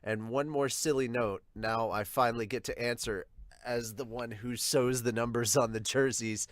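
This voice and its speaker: A man's voice: medium pitch, fairly even intonation, moderate pace, no room sound. A man hosting a podcast, reading comments aloud and chatting casually in a somewhat hesitant way. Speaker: podcast host reading and discussing viewer comments.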